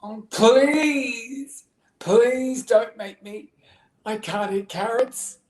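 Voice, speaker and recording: A little girl bunny from a storybook, acted out by a woman, whines and pleads with great drama. The voice leaps high and drags words out slowly, stubborn to the end, in an echoey room. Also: a little mellow. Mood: desperate pleading. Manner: pleading, stretched-out words, melodramatic.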